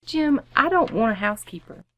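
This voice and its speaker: A wry mother in her thirties in a radio family comedy. Her voice sits slightly high for a woman and is recorded dry, with a composed, gently weary delivery. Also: lively intonation, fairly quick, dry recording. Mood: firm. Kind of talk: old-time radio family comedy acting.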